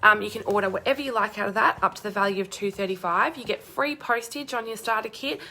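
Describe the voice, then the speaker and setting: A young woman with a hoarse, croaky voice chatting bubbly on a live stream, greeting viewers by name. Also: medium pitch, fast talker, pushed and bright. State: hoarse, losing her voice. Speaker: crafting demonstrator hosting a live stream.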